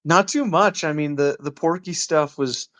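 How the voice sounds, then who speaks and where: A man's voice: on the high side, moderate pace, a bit bright. A man co-hosting a casual podcast, speaking in an agreeable, informal way. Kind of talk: casual chat.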